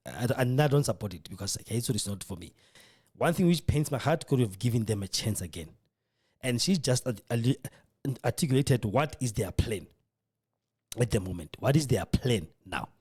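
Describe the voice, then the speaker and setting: A South African man whose first language is Setswana, hosting a radio show in casual, animated commentary that mixes English with Setswana. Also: medium pitch, breathy edge. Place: radio studio.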